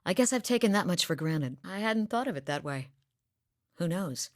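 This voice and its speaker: A woman's voice: on the low side, lively intonation, moderate pace, dry recording, clean studio sound, close to an everyday voice. A woman voicing a determined young woman hunter, earnest and sincere in a video game. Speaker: voice actor. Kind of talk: video game character dialogue.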